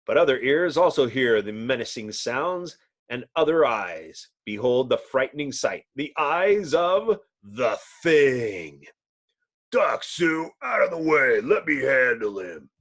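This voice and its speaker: A man giving dramatic scripted narration of a comic book, theatrical and suspenseful. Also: mid-range voice.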